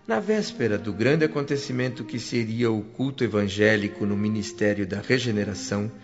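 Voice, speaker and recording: A man narrating a radio play in the first person, with calm, descriptive storytelling. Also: fairly low pitch. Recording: dull lo-fi radio play audio.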